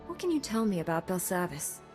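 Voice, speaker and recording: A woman's voice: medium pitch, very animated, a little fast, darkish tone, natural voice. Casting: actress for dutiful, confident female knight in a sci-fi game, mid register, direct delivery, reverberant hall sound. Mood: businesslike. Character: resolute, dutiful young female Jedi knight. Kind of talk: scripted video game dialogue. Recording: long hall reverb, faint music behind.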